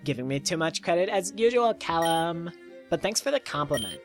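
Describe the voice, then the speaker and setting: A man's voice: on the high side, sing-song, moderate pace, soft background music. A man voicing a modest, polite but ambitious schoolgirl in a visual novel.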